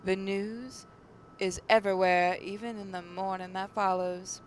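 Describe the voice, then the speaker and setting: Woman narrating dramatically over rumbling background noise. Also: flat delivery, unhurried. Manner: stumbles over words while reading. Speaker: gaming channel narrator reading a visual novel aloud. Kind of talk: dramatic read-aloud narration.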